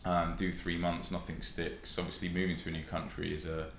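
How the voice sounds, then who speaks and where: Young male podcast guest, southern English, low-pitched, casual, echoey recording. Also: completely flat delivery, fairly quick, gravelly. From West Sussex, England. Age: young adult. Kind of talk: casual chat, telling own experience. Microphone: podcast microphone. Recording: roomy echo with faint noise.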